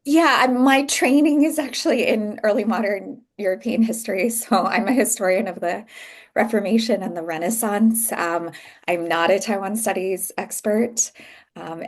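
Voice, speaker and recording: An American woman in her thirties, a guest author talking thoughtfully about her book over a video call, with frequent pauses. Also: on the low side, vocal fry. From United States. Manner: thoughtful, soft-spoken, pauses often. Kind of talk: reflective conversation.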